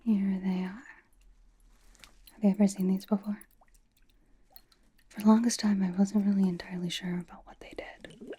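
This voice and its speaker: A woman's voice: medium pitch, level tone, moderate pace, long pauses, warm tone, close to an everyday voice. A woman playing a playful, teasing young female K-pop idol in an ASMR roleplay, whispering casual, reassuring skincare pampering. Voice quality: breathy whisper. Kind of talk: ASMR roleplay, soft personal-attention whispering.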